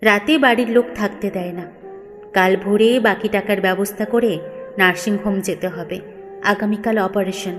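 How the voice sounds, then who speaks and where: A woman's voice: mid-range voice, fairly even intonation, moderate pace, in a large hall. A woman storyteller giving a scripted, emotional narration of a family story. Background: music.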